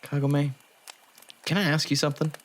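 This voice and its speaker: A man playing a gruff but tender young half-demon in a fan audio drama, with a casual, halting, understated delivery. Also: fairly high pitch, flat delivery, slight background noise, close to an everyday voice. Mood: awkward, tender.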